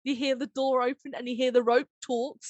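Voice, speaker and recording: Animated woman chatting as podcast host. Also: high voice, brisk, dry recording. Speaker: host and audio drama editor. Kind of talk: casual podcast chat.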